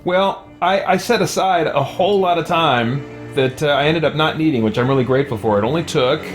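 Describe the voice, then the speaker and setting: A man's voice: fairly high pitch, brisk, soft background music. A man hosting a game live stream, chatting informally and hesitantly as he thinks aloud. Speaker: video game streamer, host. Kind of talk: casual live stream chat.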